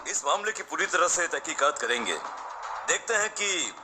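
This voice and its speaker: Man playing an animated, high-voiced policeman. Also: moderate pace, music in the background. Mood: serious, concerned. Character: earnest policemen investigating deaths. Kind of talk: cartoon story dialogue.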